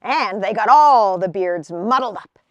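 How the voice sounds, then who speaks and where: A woman's voice: medium pitch, lively intonation, unhurried, no echo, voice pushed down, close to an everyday voice. A woman voicing a grumpy, ugly old male troll for a storybook, with a growling, grunting, threatening delivery. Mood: angry, menacing. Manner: gruff, threatening.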